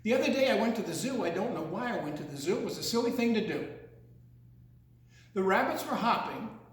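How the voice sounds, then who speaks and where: Very echoey recording, older man, high voice, children's storyteller, singsong and playful read-aloud. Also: animated. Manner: theatrical, singsong, drawn-out delivery with teasing questions. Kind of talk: read-aloud picture-book story with playful asides to young listeners. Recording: very echoey, reverberant room.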